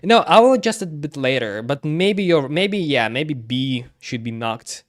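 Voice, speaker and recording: A man livestreaming casual commentary on game cutscenes, talking haltingly and often repeating himself. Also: a little high, animated. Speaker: streamer.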